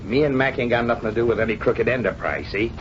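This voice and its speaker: An actor with an American accent playing a surly small-time gangster, tough, slangy and sneering, in a vintage radio drama. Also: male voice, mid-range voice, fast, close to an everyday voice. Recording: muffled old radio recording, faint noise.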